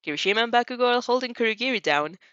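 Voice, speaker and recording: A woman's voice: fairly high pitch, expressive, very fast, a bit bright, smooth, no echo, highs cut off. A woman reading a story aloud expressively as an amateur, often stumbling and rereading phrases. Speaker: fanfiction audiobook reader.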